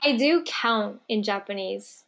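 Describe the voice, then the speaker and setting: A woman in casual podcast chat, quick with short agreeing replies. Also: mid-range voice, vocal fry, fairly bright tone.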